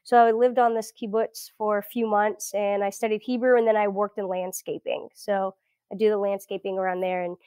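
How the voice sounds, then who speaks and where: Chatty woman with a smooth clear voice. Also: medium pitch, flat delivery, brisk, dark tone, dry recording. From South Florida. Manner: chatty, lots of fillers and restarts. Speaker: guest researcher. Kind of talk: casual interview chat.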